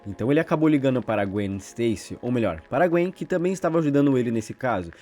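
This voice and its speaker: A Brazilian man narrates in an informal, conversational style, like a channel host telling a story. He moves along quickly at a medium pitch, with music playing behind his voice. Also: animated, a little mellow. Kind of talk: informal video narration retelling and commenting on horror stories. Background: background music.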